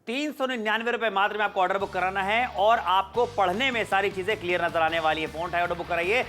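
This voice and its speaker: High-voiced fast-talking male TV shopping host. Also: pushed and bright. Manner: persuasive, insistent calls to order. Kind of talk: teleshopping sales pitch. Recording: studio with background music.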